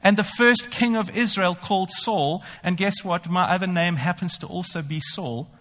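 A man's voice: high voice, moderate pace, fairly bright tone. A man preaching a sermon. He talks animatedly, often speaking in the first person as the apostle and boasting ironically. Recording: long hall reverb.

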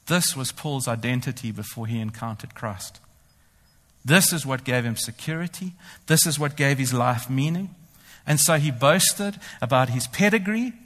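A man's voice: medium pitch, a bit bright. A man preaching a sermon. He talks animatedly, often speaking in the first person as the apostle and boasting ironically. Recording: long hall reverb.

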